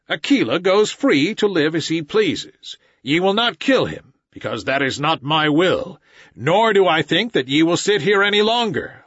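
A man voicing a defiant boy raised by wolves, with a husky voice and a dull recording. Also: high-pitched, no echo, pitched above the performer's own voice, exaggerated voice. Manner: shouting commands, then sobbing pleas.

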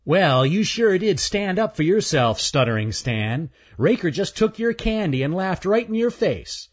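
A man's voice: high voice, very animated, unhurried, husky, pitched above the performer's own voice, full character voice. A man voicing a cocky male chipmunk who teases and wheedles in a children's story. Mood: pushy, needling. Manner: teasing, pushy.

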